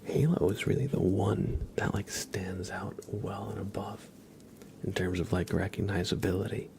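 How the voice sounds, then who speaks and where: A man hosting an ASMR livestream, chatting to viewers gently in a soft, breathy whisper that slips into a murmur. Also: fairly even intonation, normal speed, a little hiss or hum. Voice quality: breathy whisper mixed with low, creaky murmur.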